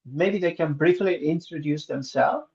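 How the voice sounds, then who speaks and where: A man hosting an online event who politely and hesitantly asks the guest to pause so the attendees can introduce themselves. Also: on the high side, gravelly, a little mellow, highs cut off. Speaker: event host.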